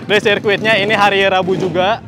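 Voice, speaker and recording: A young man hosting a casual motorcycle vlog, excitable and full of slang, talking into a handheld mic outdoors at a race circuit. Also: sits high, brisk. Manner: excitable, slangy, lots of exclamations. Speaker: motovlogger host. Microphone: handheld mic, camera close. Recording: noisy outdoor circuit with engines.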